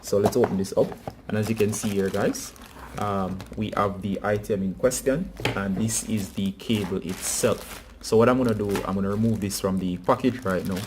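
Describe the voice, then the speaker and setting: A man's voice: on the low side, fairly even intonation, moderate pace, background noise. A man presenting a casual hands-on how-to tutorial, talking directly to viewers.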